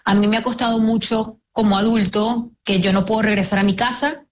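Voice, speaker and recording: A woman's voice: mid-range voice, steady tone, fairly quick, slight background noise. A woman from La Guaira with a Venezuelan accent, giving reflective personal testimony as an interview guest. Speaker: interview guest, disaster survivor.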